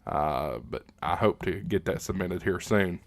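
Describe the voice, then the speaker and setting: Man, low voice, dry sound, livestream host, casual chat, answering viewer comments, thinking aloud. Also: animated, measured pace, no echo.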